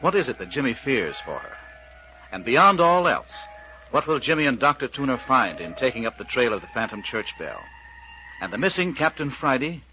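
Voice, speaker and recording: A man's voice: mid-range voice, very animated, moderate pace, faint music behind. A man announcing a vintage radio mystery serial in a dramatic style. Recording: dull, noisy vintage radio recording.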